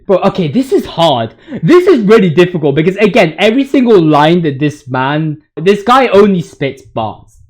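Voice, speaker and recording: Young male gaming YouTuber, high voice, informal and excitable, rambles in heavy slang and exaggeration. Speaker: video creator, commentator. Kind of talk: informal video game commentary.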